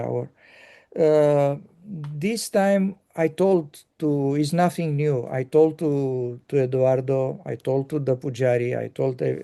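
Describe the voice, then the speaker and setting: A man hosting a casual conversation, talking haltingly with many pauses and fillers. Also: mid-range voice, gravelly, dark tone. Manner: halting, pausing often with fillers.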